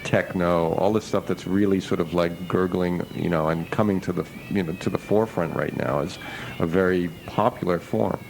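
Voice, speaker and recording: Low-voiced male radio interviewer, tentative. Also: steady tone, normal speed, creaky. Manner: tentative, wordy, circling questions. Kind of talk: casual interview questioning. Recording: noisy radio aircheck recording.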